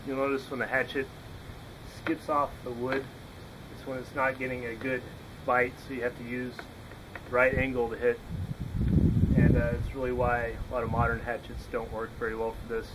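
A man's voice: mid-range voice, level tone, noisy background. Man demonstrating hatchet work outdoors, level voice. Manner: plain, unhurried, explaining while chopping. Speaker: woodworking instructor. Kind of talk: casual how-to demonstration. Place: outdoors in a yard by a woodpile.

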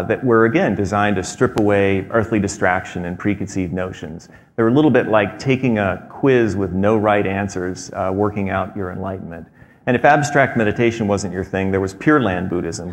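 A man in his thirties giving a prepared talk on a theater stage, measured and explanatory, with frequent fillers. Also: sits low. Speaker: presenter. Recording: long hall reverb.

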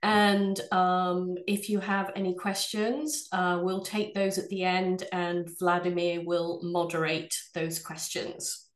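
A woman from England with an English accent, head of sales, presenting a webinar from her office in a measured, polished way. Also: fairly low pitch, flat delivery, roomy sound. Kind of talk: scripted product presentation.

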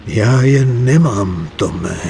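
An older man playing a weary, remorseful mob bookkeeper in a dubbed game, earnest and halting, pleading for his family. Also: lowish voice, lively intonation, gravelly, dark tone, slight background noise. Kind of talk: dubbed video game cutscene acting.

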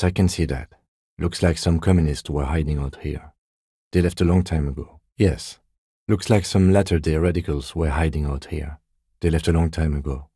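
An actor playing a composed, dry police lieutenant in a video game, speaking softly in a measured, restrained deadpan with a slightly husky voice. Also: male voice, extremely low pitch, dry recording. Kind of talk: video game dialogue acting.

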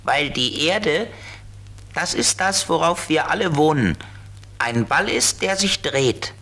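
A man playing a friendly know-it-all flying ladybird car in a children's audio play, explaining things simply in a husky voice. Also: on the high side, expressive, slow pace, pushed and bright, exaggerated voice. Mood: patient, explaining.

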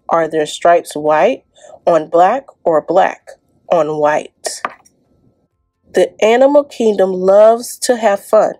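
A woman's voice: medium pitch, dark tone. A young woman hosting preschool storytime, reading aloud and chatting to small children in an encouraging, sing-song way. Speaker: library storytime host. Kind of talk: preschool storytime, picture book read aloud.

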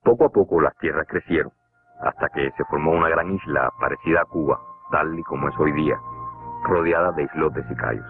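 A man with a Cuban Spanish accent giving measured, scripted documentary narration for a cartoon. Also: a bass voice. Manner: measured, explanatory. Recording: tinny lo-fi narration over music.

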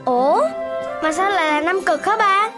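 A woman's voice: very high voice, lively intonation, moderate pace, over music. A woman voicing a curious, cheerful little puppy boy in a children's cartoon, childlike and eager.

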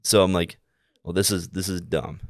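A man in his twenties with an American accent, telling stories casually in a halting, repetitive way as an interview guest. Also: deep voice, little pitch movement, no room sound, clean studio sound. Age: 20s. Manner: halting, rambling, repeats himself while telling anecdotes. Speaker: podcast guest, air traffic controller. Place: small indoor room, seated. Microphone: close podcast mic on boom arm.

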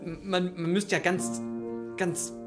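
Man acting brooding bookkeeper over loud guitar. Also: sits high, level tone, slow. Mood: brooding, disbelieving. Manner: musing in short broken phrases. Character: brooding bookkeeper muttering over ruinous figures. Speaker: improv actor in a live audio play. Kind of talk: improvised audio drama monologue. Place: small dark curtained stage. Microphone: stand microphone. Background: loud plucked guitar.

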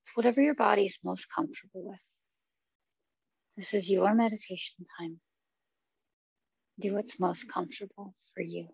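A woman teaching meditation, leading a guided body scan with gentle, unhurried instructions and long pauses. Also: mid-range voice, vocal fry, husky, dry recording, highs cut off.

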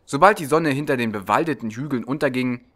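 A man's voice: medium pitch, fast talker, fairly bright tone. A man narrating a storybook from a script as an audiobook reader.

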